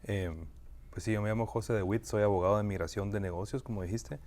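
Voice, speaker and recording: Hombre de voz grave, abogado invitado, explica con calma y muletillas. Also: male voice, on the low side. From Guatemala. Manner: measured, with frequent "eh" fillers and restarts. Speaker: immigration lawyer, guest. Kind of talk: explanatory interview answers.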